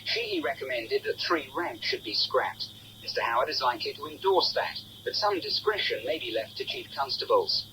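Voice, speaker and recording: A man's voice: on the high side, huge pitch swings, moderate pace, gravelly. Male correspondent, very varied intonation. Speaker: home affairs correspondent. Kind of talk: scripted radio news report. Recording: noisy old radio broadcast.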